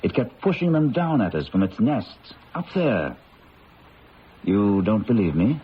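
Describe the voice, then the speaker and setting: Skeptical friend in radio mystery: wry, unruffled, lowish voice with lively swings, lo-fi. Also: male voice, measured pace. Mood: affable, wry. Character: breezy skeptical man who scoffs at ghosts. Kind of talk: radio drama acting. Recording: dull lo-fi old radio, faint noise.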